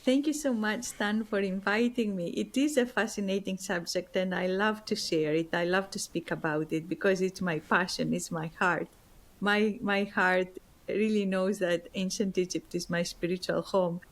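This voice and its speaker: A woman's voice: mid-range voice, fairly even intonation, normal speed. Woman, Greek accent, guest author, earnest, heartfelt, searching for words. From Greece. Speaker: guest author and spiritual teacher. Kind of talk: interview answers, personal story.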